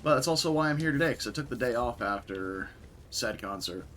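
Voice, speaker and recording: A young man with a raspy voice from a concert the night before, casually commentating a game stream and chatting with viewers. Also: mid-range voice, fairly quick, a little hiss or hum. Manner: relaxed, chatty, answers chat.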